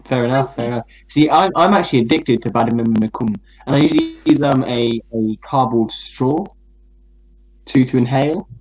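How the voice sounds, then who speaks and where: A man's voice: mid-range voice, normal speed, a little mellow, low-quality recording. A man co-hosting a comedy podcast, asking absurd questions in a dry, fake-serious, hesitant way. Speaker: podcast co-host. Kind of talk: deadpan mock interview.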